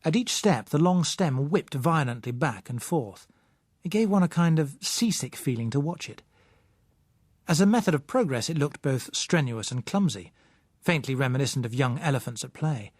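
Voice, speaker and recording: A British man reading a first-person novel as audiobook narrator, measured, articulate and dryly wry. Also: medium pitch, animated, a little mellow, breathy edge, no echo, clean studio sound.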